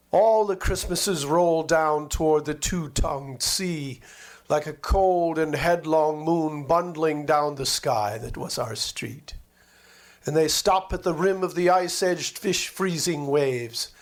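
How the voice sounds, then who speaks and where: A man narrating a literary memoir expressively, with measured, dramatic phrasing and lingering words. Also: a little high.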